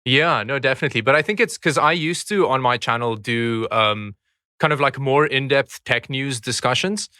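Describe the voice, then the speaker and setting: A man's voice: medium pitch, moderate pace, pushed and bright, dry recording, studio quality. A man whose first language is Afrikaans, a guest on a casual podcast, who laughs easily and repeats words when starting sentences.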